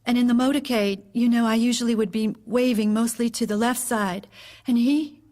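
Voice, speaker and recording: A woman's voice: on the high side, steady tone, darkish tone, natural voice. A woman playing a widowed First Lady giving hesitant, composed testimony in an audio drama. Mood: subdued, hesitant. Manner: hesitant, halting, measured recollection.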